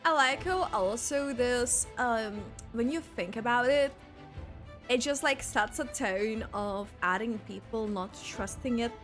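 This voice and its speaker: Bright, slow-paced woman livestreamer. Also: a little high. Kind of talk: casual game reaction commentary. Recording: game music behind the voice. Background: game music.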